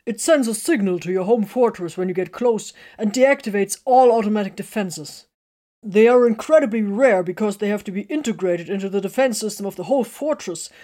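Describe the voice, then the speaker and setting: A woman doing an excitable, protesting male tech marine. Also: medium pitch, natural voice.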